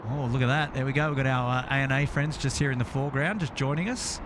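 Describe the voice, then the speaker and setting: A man's voice: mid-range voice, quick pace, noisy background. Rambling Australian man chatting casually. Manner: rambling, hesitant. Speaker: live stream host. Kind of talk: casual live plane-spotting commentary. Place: outdoors near an airport at night.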